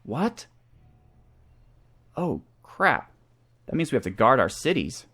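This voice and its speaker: A man's voice: medium pitch, big pitch swings, takes it slowly, fairly bright tone. A man giving casual gameplay commentary as a let's play host, thinking aloud through his moves.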